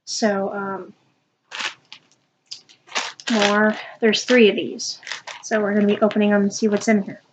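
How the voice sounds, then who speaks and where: A young woman with a stuffy, sniffly nose, talking through a casual unboxing vlog with many pauses and restarts. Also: mid-range voice, level tone, dull audio, noisy background. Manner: halting, with many ums and restarts. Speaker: vlogger.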